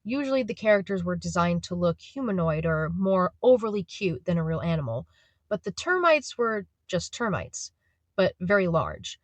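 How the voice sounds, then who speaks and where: A woman narrates a scripted audio drama. Her pitch is a little lower than average for a woman. The recording is dry, with no room sound around the voice. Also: big pitch swings, normal speed, no echo.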